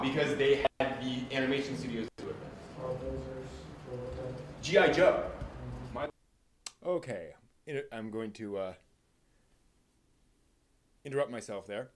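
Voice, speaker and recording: A man's voice: a little high, slight background noise, rough recording. A man hosting an informal live stream, talking in a casual, rambling way and at times reading aloud. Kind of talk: casual live stream talk about anime with theatrical read-aloud segments.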